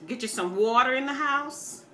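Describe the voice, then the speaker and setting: An older American woman talks casually to her online viewers. Her voice is bright and forceful, and her manner is folksy, opinionated and plain-spoken. Some background noise sits behind her. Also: high voice, normal speed. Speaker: video blogger. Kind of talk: casual rambling chat to viewers.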